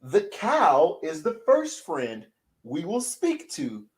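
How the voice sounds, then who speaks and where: A man voicing a sick, stuffy-nosed little horsefly who is gentle and sweet, reading a children's picture book aloud in a hoarse, sniffly voice. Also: fairly high pitch, huge pitch swings, slow, exaggerated voice. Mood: singsong.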